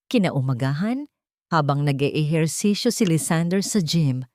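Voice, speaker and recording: Woman narrating a dramatic audiobook, low voice, really dry close sound. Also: dark tone, dry recording. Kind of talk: dramatic scripted story narration.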